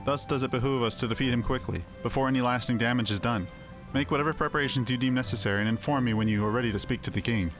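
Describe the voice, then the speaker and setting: A man acting a solemn elder in a fantasy video game, speaking in measured, archaic and formal phrasing. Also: medium pitch, music in the background, close to an everyday voice. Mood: grave, earnest.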